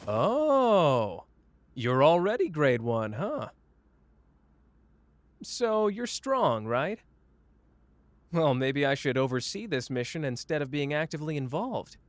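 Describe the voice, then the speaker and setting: A man's voice: fairly high pitch, very animated, slow, pauses often, no echo. A man playing a cocky, flamboyant young sorcerer who teases the listener in a playful, smug roleplay audio drama. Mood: smug, teasing. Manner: playful, smug, drawling teasing.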